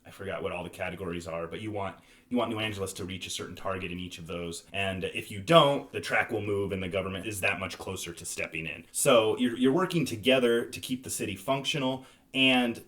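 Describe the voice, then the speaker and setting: Male podcast host, lowish voice, relaxed conversational game-review delivery. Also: brisk. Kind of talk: casual board game review chat.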